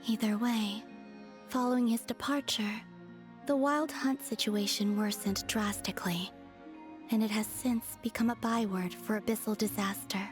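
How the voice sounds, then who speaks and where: A woman voicing a serene, aloof young moon goddess in a video game, speaking softly in a measured, formal, deadpan way. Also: medium pitch, natural voice. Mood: composed, coolly curious. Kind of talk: English video game dialogue acting. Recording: faint music behind the voice. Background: faint background music.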